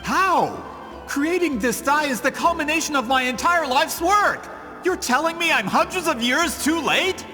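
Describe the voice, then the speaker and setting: An actor playing a scheming, vain merchant in a video game, with a theatrical, pompous, indignant manner. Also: male voice, extremely high pitch, expressive, measured pace, pushed and bright, faint music behind, full character voice. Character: scheming, vain male merchant with grand business ambitions.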